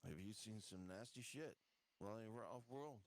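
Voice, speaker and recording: A man talking casually during a tabletop roleplaying game, halting as he searches for his words. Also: lowish voice. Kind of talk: casual improvised roleplay talk.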